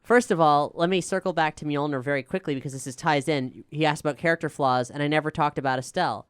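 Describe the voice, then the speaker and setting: A showrunner speaks at length on a casual Q&A panel in a clear, smooth voice of middle pitch. The delivery is reflective and expansive, like someone settling into a long story. The sound is dry and close. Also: quick pace, no room sound. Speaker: showrunner, writer and cast member of an audio drama.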